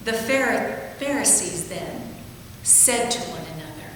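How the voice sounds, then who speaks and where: A woman's voice: mid-range voice, animated, measured pace, fairly bright tone. A woman giving a formal reading from the Bible. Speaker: scripture reader. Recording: very echoey large hall, distant, faint noise.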